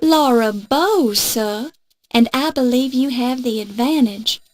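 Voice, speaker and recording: Eager young woman, high-pitched, slow-paced, polite, plucky, game dialogue over music. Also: darkish tone, no room sound. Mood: polite, unsure. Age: young adult. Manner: earnest, polite, determined. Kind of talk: scripted adventure game acting. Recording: music under the voice.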